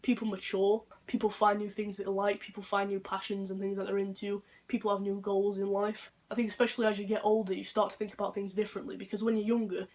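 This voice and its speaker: Young man, deep voice, quick delivery, flat intonation, rambling and earnest. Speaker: vlogger. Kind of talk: unscripted casual vlog monologue.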